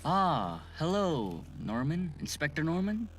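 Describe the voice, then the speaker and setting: A man's voice: on the high side, big pitch swings, a little slow. Man acting an earnest autopsy doctor, noisy recording. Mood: matter-of-fact. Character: earnest male autopsy doctor, talking while he works. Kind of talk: amateur audio drama acting. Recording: background noise.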